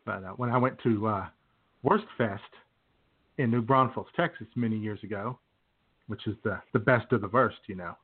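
A man's voice: lowish voice, moderate pace, slightly rough. A man hosting a radio show with casual, folksy, rambling comedic banter. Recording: tinny phone-like sound, faint noise.

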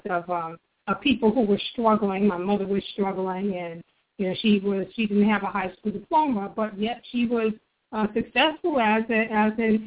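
A middle-aged woman from New York, a guest author on a phone interview, telling her story in an earnest, motivational way. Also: mid-range voice. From New York, United States. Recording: tinny phone line.

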